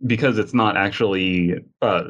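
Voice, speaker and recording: A man's voice: fairly low pitch, normal speed, a bit bright, lo-fi sound. A man hosting a casual panel podcast, inquisitive, summing up and putting questions to the others. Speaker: podcast host.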